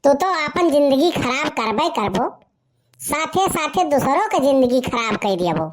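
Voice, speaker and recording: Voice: high voice, big pitch swings, a little slow. A performer voicing a boastful young village slacker in a comic cartoon story, with a rustic eastern Uttar Pradesh Awadhi accent.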